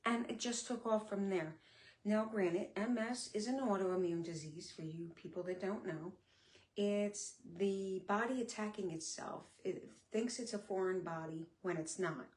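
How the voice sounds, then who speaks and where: A middle-aged woman talking candidly on a live stream, her speech sometimes a little slurred, with many pauses and fillers. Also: mid-range voice, a little mellow. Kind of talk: casual live-stream talk. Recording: echoey room.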